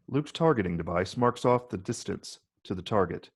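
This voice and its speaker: Gravelly low-voiced man narrating a screenplay. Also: animated, moderate pace. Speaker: narrator reading stage directions.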